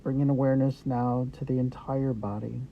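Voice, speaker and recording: A man guiding a meditation with gentle, unhurried instructions in short phrases with pauses between them. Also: mid-range voice, steady tone, vocal fry. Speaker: meditation guide. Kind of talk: guided meditation, Yoga Nidra. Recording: dull lo-fi audio with background noise.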